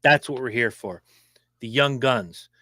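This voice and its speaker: Brief: fast-talking, opinionated male podcast co-host, dry close sound. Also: medium pitch, no room sound. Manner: opinionated, animated, quick-talking. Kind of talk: casual sports chat.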